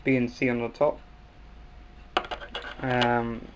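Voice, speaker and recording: A young New Zealand man talks through a casual hobby demonstration at a medium pitch. His delivery is halting and hesitant, broken by pauses. It sounds like an amateur recording made in a room at home, with faint noise behind the voice. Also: flat delivery. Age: young adult. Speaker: hobbyist video maker. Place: small cluttered room at home, indoors.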